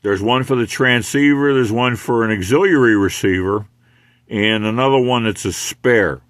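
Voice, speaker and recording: An older American man giving a casual hands-on equipment demonstration in a halting, unhurried way. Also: medium pitch, fairly bright tone, no echo, clean studio sound. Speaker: radio hobbyist demonstrating equipment.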